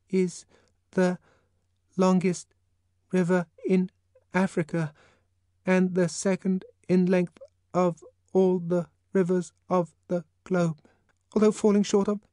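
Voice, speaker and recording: A woman's voice: fairly low pitch, slow pace, pauses often, darkish tone, no room sound, clean studio sound. A woman playing an insistent instructor who recites and quizzes a child in an acted dialogue. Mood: insistent, reciting.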